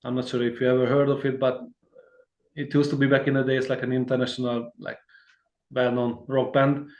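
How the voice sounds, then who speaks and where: Man, mid pitch, easygoing and rambling guest, echoey room. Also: little pitch movement, a little fast, darkish tone, highs cut off. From Hungary. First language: Hungarian. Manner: rambling and friendly, many fillers and restarts, laughs easily. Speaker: guitarist, interview guest. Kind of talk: casual interview chat.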